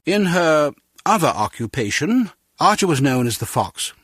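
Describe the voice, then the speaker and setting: A man's voice: a little high, big pitch swings, normal speed, fairly bright tone, breathy edge, no echo, clean studio sound, exaggerated voice. An actor playing a grave, courteous male intelligence chief with a formal, commanding manner in a video game. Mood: grave, measured.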